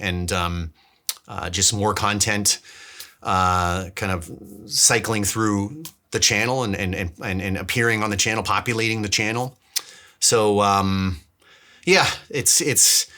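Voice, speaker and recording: A man's voice: on the low side, bright, forceful tone. A man hosting a casual video devlog, talking informally and thinking aloud, often circling back and repeating words as he goes. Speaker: DIY filmmaker and vlog host. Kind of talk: casual devlog talk to camera.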